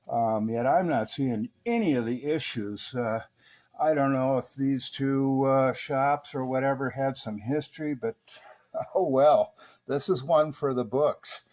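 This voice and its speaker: A man's voice: mid-range voice, darkish tone. An American man narrating a repair walkthrough as a technician, in a casual, folksy, rambling explanatory way. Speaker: radio repair technician and video host.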